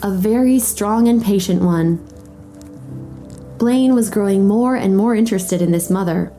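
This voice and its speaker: Mellow female story narrator over music. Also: mid-range voice, unhurried. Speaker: audio drama narrator.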